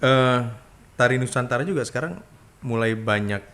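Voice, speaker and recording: A man hosting a podcast interview, unhurried, with many short agreeing hums. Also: medium pitch, lively intonation, fairly bright tone. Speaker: podcast host. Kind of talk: casual interview.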